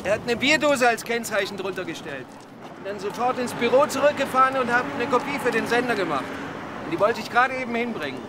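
High-pitched man in loud traffic. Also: lively intonation, fast talker. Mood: self-justifying. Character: male film producer suspect, unapologetic, self-justifying. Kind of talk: TV crime drama dialogue. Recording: loud outdoor city traffic noise. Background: urban outdoor and vehicle noise.

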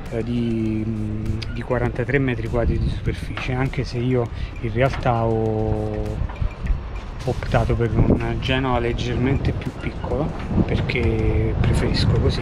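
A middle-aged man giving a casual tour of his sailboat, hesitant, with many fillers and restarts, filmed outdoors in a harbor on a handheld camera. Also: mid-range voice. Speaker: sailor giving a boat tour. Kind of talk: casual walkthrough vlog. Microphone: handheld action camera at arm's length.

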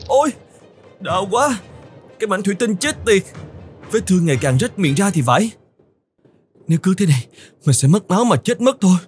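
A man voicing an anxious young male cartoon camel in a whiny, exclamatory, melodramatic way. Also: high-pitched. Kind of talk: cartoon voice acting.

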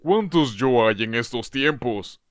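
A man's voice: mid-range voice, expressive, takes it slowly, no echo, studio quality. A man voicing a flustered, wry skeleton in a fan-dubbed comic, with hesitant, drawling delivery. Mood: wry, puzzled. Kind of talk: amateur fan dub of a comic.

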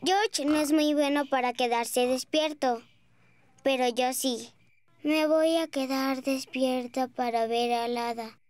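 An actor in a children's cartoon dub playing a lively, bossy little girl pig with a childlike, emphatic delivery. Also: sits high, takes it slowly, clear, resonant tone. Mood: confident.

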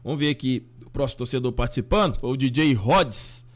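A Brazilian man in his thirties hosting a fan channel, reacting casually to listeners' voice messages in a slangy, animated way. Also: mid-range voice, fairly quick. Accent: Brazilian Portuguese. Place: small home studio with fan decor. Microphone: studio mic on boom arm.

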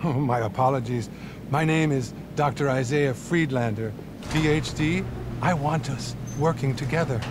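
An actor playing a smug, unhinged celebrity therapist, theatrical and full of clinical jargon, in a video game cutscene. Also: male voice, medium pitch, normal speed, noisy background, natural voice. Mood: grandiose, persuasive. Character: smug, unhinged, self-promoting celebrity therapist with delusions of grandeur.

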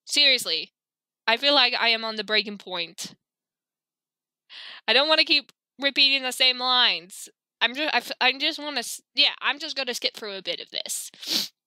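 Woman, let's play host, high voice, very bright tone, exasperated chatty commentary, slightly hoarse, close dry mic. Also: animated, moderate pace, no echo. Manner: exasperated, chatty, reads game text aloud. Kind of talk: casual gameplay commentary.

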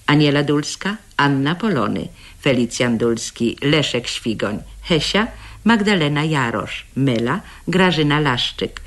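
Announcer for radio theatre credits, formal scripted read, medium pitch. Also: lively intonation, unhurried, a bit bright.

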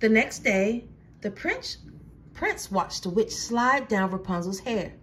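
A woman storyteller reading a children's storybook aloud with dramatic, deliberate phrasing. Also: medium pitch, very animated, fairly bright tone, a little hiss or hum.

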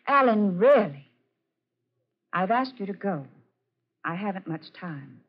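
A woman's voice: medium pitch, expressive, slow pace, breathy edge. An actress in a 1940s radio drama playing a cold, dismissive woman who jilts her suitor. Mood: cool, impatient. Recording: muffled old 1940s radio recording.

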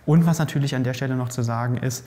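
A male host in casual expert conversation, lively and explaining, often restarting mid-sentence, in a bike fitting lab. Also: mid-range voice, fast talker. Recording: long reverb in a lab room.

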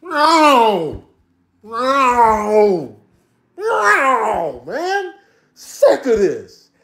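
Man doing a stressed-out tomcat, high-pitched, wailing, exasperated, cartoonish comedy voiceover. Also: huge pitch swings, very slow, sharp tone, heavily acted. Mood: outraged, fed up. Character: stressed, fed-up male cat wailing in outrage.

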